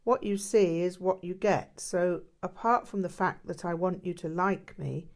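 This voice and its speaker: A woman's voice: lowish voice, measured pace. A woman voicing an earnest, deferential male disciple in an audiobook, hesitant and candid. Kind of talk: audiobook character dialogue.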